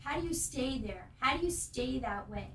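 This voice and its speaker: A woman's voice: sits high, animated, moderate pace, reverberant, distant mic, a little hiss or hum. A woman hosting a casual interview, asking questions and affirming her guest. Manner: warm and affirming, asks questions. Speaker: host.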